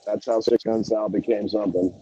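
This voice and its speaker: A man's voice: lowish voice, fairly even intonation, a little fast, dark tone, husky, no echo, dull audio. A man talking casually as an interview guest, a singer telling stories in a rambling, slangy, salty way. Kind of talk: casual interview chat, anecdotes.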